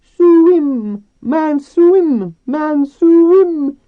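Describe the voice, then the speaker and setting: Guy doing a super high squeaky girl dolphin, childlike n eager, choppy broken words like a storybook creature. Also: lively intonation, very slow, dry recording, narrow, tinny audio, exaggerated voice. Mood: excited, hopeful. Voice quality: thin, squeaky falsetto. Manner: broken, clipped pidgin in short insistent bursts. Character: eager, childlike, playful female talking dolphin. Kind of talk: audiobook character voice.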